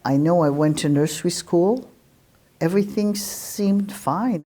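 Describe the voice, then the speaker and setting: Low, mellow woman in her eighties. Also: measured pace. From Belgium. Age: 80s. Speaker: Holocaust survivor interviewee. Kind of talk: recounting childhood memories.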